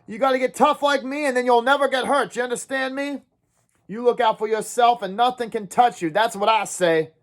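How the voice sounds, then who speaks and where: A man's voice: very high-pitched, animated, fairly quick, vocal fry, few pauses, voice pushed up, exaggerated voice. A man voicing a brash, hotheaded teenage tough. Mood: urgent tough. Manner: urgent, brash, sneering drawl.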